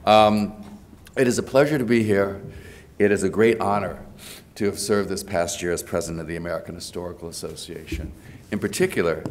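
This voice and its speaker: A low-pitched man in his sixties, congested from a cold, delivers an academic address at a podium microphone. He speaks with frequent fillers and restarts. The sound carries the feel of a large hotel ballroom. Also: a little hiss or hum. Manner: hesitant, many fillers and restarts. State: has a cold. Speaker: lecturer giving a presidential address. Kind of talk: academic lecture.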